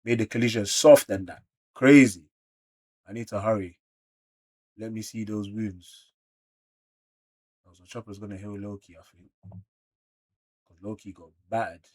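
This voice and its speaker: A man's voice: on the low side, measured pace, long pauses, breathy edge. London man reacting in loose street slang. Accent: London British. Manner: slangy, animated exclamations mixed with muttered asides. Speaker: reaction video creator. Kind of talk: casual manga read-along reaction.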